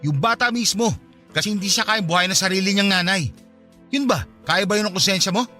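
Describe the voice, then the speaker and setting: Radio drama role: cold, blaming husband with a secret, fast delivery, forceful bright voice. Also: male voice, sits high, soft background music. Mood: scolding, blaming. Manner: defensive, scolding, blaming. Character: callous married man coldly rejecting his former mistress. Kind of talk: Tagalog radio drama acting.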